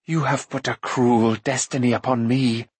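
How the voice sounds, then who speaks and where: A man's voice: mid-range voice, steady tone, normal speed, breathy edge, dry recording, natural voice. A fallen sorceress queen from a fantasy storybook, acted out by a man. The delivery is imperious and anguished, full of bitter pride and despair, in a close, dry recording.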